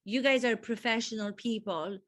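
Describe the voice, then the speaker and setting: A woman's voice: on the high side, normal speed. A woman acting coach hosting a video call, encouraging and full of praise for her students.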